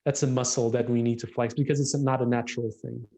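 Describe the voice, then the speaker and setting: Portuguese-accented male designer, thoughtful, halting delivery, mid-range voice, casual chat. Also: mid-range voice, little pitch movement, gravelly, warm tone. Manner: reflective, hesitant with restarts. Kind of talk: casual conversation.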